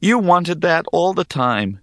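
An earnest young hero from a fantasy storybook, voiced by a man, speaks at a raised pitch with lively intonation. He is determined and indignant, pressing his case like a boy on a quest. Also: unhurried, dry recording, clean studio sound. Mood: bitter, indignant. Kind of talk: audiobook character acting.

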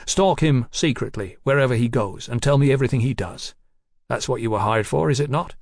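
Pompous, commanding gentleman played by a man. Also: mid-range voice, brisk, creaky, no room sound, clean studio sound. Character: self-assured gentleman doctor, haughty and generous.

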